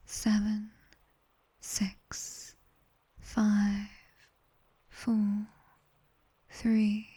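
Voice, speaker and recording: Casting: actress as British nightmare demoness tutor for ASMR roleplay; breathy whisper, very slow, teasing but commanding, close to the mic. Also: female voice, medium pitch, warm tone, heavily acted. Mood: hushed, guiding. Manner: measured, strict, teasing. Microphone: close binaural microphone.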